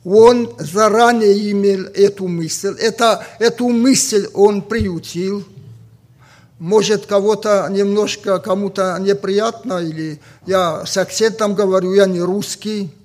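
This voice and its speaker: A man from Ossetia with an Ossetian accent, a native Ossetian speaker preaching a sermon slowly and deliberately, pausing often with fillers. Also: high voice, steady tone, a little mellow. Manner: slow and deliberate with many pauses and fillers.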